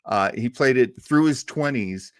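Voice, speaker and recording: A man's voice: medium pitch, a little slow, no echo, clean studio sound. A man hosting an informal, unscripted show on film history, rambling along as an enthusiastic storyteller. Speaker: host of an old radio and film show.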